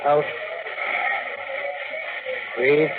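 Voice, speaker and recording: An actor playing a brisk, matter-of-fact examining doctor in a vintage radio drama, clipped and clinical. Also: male voice, phone-quality sound, over music. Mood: clinical, regretful. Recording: very noisy vintage radio recording.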